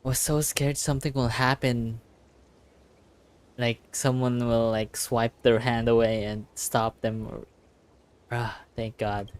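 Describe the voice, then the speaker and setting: A streamer giving casual live reaction commentary on a game, invested and excitable, muttering reactions. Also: mid-range voice, slow pace, clear, resonant tone.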